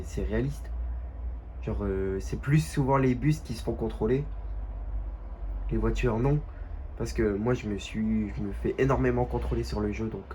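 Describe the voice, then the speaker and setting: A young man with a stuffy, nasal voice from a cold, chatting casually and haltingly over gameplay at home. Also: lowish voice, warm tone, a little hiss or hum. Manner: halting, many fillers and restarts. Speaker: gaming youtuber.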